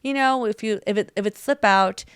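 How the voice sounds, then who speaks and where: A woman's voice: medium pitch, a little fast, clear, resonant tone, no echo, studio quality. A young American woman co-hosting a podcast in casual chat, rambling with lots of "like" and acting out the voices of people she quotes. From United States. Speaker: podcast co-host.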